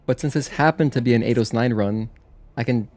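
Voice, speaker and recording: A man's voice: medium pitch, a little fast, a little mellow. A man giving casual livestream game commentary, chatting with viewers in a rambling way that breaks off to react and answer them. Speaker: livestreamer playing video games. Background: video game music.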